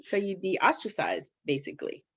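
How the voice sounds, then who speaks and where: A woman's voice: lowish voice, a little fast, vocal fry, breathy edge. A woman hosting an interview, affirming her guest often and asking reflective questions. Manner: affirming, frequent laughs and "mm-hmm". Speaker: podcast host. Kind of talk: interview conversation. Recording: slightly muffled lo-fi audio.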